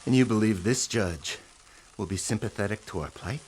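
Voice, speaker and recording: A man's voice: on the low side, normal speed, darkish tone, a little hiss or hum, close to an everyday voice, rough recording. An actor playing an anxious man questioning an ally in an audio drama. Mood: doubtful.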